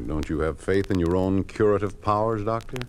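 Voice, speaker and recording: A man's voice: deep voice, normal speed, darkish tone, a little hiss or hum, lightly acted. An American man playing a smug, officious police chief in a 1950s radio drama, on an old recording.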